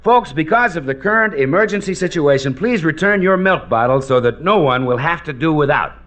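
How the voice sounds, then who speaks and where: An American man announces in the style of 1940s radio. His voice sits somewhat high for a man and moves with very lively intonation through scripted copy and straight-man lines. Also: brisk.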